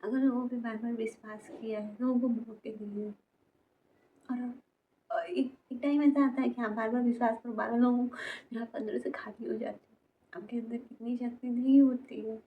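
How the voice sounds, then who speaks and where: A woman in her twenties talking personally to camera as a vlogger, halting and repetitive, often trailing off mid-sentence, in a tinny, phone-like recording. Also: on the high side, steady tone. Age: 20s. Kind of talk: personal confessional talk to camera. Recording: tinny phone-like sound, noisy background.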